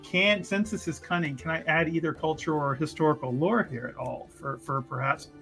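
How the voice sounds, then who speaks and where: A man's voice: fairly high pitch, normal speed, creaky, bright, forceful tone, faint music behind. A man playing a tabletop roleplaying game, chatting casually in a jokey way with theatrical turns of phrase. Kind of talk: casual, animated game chat.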